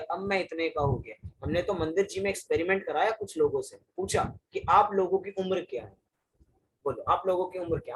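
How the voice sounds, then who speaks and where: A man's voice: high voice, a little hiss or hum, rough recording. Man preaching with repeated rhetorical questions. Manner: asks the audience questions and answers them himself, repeats phrases for emphasis. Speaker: Jain scholar giving a discourse. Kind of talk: religious discourse explaining verses.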